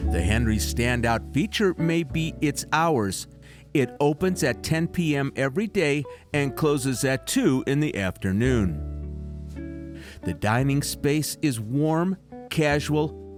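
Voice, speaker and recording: A man narrates a travel video in a polished, upbeat voice-over, his pitch rising and falling with real liveliness. His voice sits at a middle pitch for a man. Guitar music plays clearly behind him.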